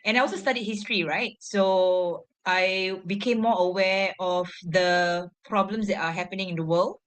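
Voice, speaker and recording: A young adult woman from Singapore answering interview questions, pausing often with fillers. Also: mid-range voice, fairly even intonation, clean tone. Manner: halting, frequent fillers and pauses. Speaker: guest, education social entrepreneur.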